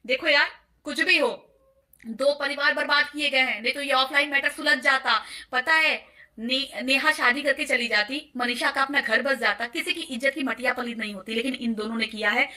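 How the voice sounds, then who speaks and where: A woman's voice: high voice, sharp tone. A woman vlogger giving accusatory commentary to viewers in an insistent, emphatic way, urging them again and again to listen. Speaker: vlogger presenting call recordings.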